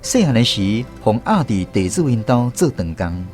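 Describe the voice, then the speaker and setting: A man with a Taiwanese accent tells a radio drama story with an animated, widely moving pitch. Music plays clearly behind his narration. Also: normal speed. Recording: music under the voice. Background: instrumental music.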